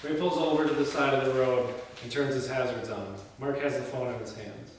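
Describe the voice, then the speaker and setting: A man's voice: mid-range voice, steady tone, fairly quick. A man reading screenplay scene headings and stage directions aloud as the narrator of a read-through. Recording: echoey hall from far off, faint noise.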